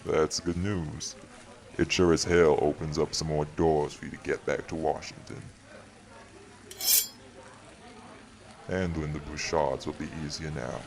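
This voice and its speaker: An extremely low male voice with plenty of gravel, a man acting a vampire who rules a city. He is measured and wry, weary yet protective, with dry teasing in an audio drama. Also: level tone. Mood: calm, reassuring.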